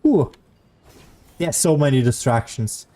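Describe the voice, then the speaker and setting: Lively, mellow-voiced game streamer. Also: mid-range voice, unhurried. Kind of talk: casual live gameplay commentary. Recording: faint game music behind.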